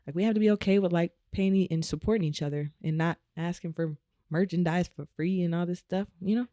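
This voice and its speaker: Raspy-voiced woman chatting easily. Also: lowish voice, quick pace, mellow, no echo. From Omaha, United States. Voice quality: naturally raspy voice. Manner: laid-back, frequent "you know" and laughs. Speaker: guest musician. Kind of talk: casual interview chat.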